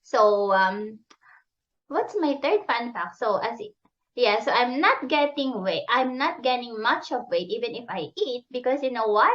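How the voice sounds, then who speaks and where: High-pitched Filipino woman, dull recording. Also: expressive, measured pace, fairly bright tone. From Philippines. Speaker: business coach, interview guest. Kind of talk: casual interview chat.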